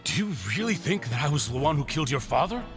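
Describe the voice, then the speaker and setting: Lively, placating accused man. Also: a little high, quick pace, music in the background. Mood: protesting. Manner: placating, casual, reasonable-sounding. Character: affable accused man protesting innocence, smoothly placating. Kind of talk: scripted game dialogue.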